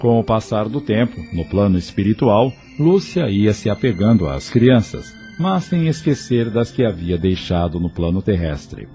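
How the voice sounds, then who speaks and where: A man's voice: fairly low pitch, sing-song, moderate pace, hardly any pauses, warm tone, faint music behind. A man narrating a scripted audio novel as a storyteller.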